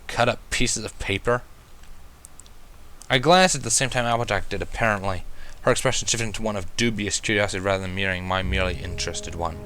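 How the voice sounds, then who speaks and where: A man's voice: sits low, quick pace, pushed and bright. A man reading a first-person story aloud as an amateur narrator, in a wry, dry manner. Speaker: amateur fan fiction reader.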